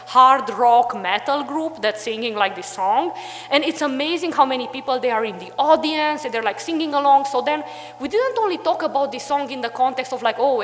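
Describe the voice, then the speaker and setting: Young woman, talks loose and chatty with lots of "like", long reverb, conference room. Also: high-pitched. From Romania, Transylvania. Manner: chatty, many fillers like "like" and "you know". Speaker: conference panelist, history teacher. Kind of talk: conference talk.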